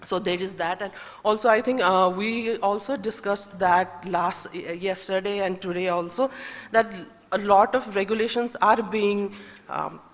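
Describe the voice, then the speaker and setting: A woman from Nepal with a Nepali accent, speaking as a conference panelist in a hesitant, halting way, thinking aloud as she makes her points. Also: medium pitch. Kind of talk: semi-spontaneous panel remarks on trade policy. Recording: echoey hall with long reverb.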